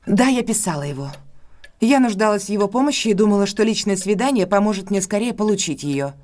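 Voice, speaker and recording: A woman's voice: mid-range voice, animated, fast, a little mellow, close to an everyday voice. An actress playing a proud, guarded, defensive woman in an audio drama, speaking formally and curtly. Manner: formal, curt, indignant.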